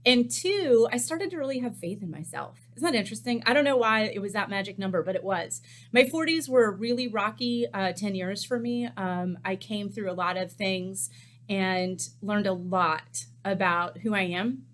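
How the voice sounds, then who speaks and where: A woman in her fifties, a chef, talks candidly to her webcam from a small cooking studio. Her voice sits at an ordinary middle pitch, and she fills her pauses with frequent ums. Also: a bit bright. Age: 50s. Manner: chatty and candid, many ums. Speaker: chef hosting a cookbook series. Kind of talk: casual chat to camera.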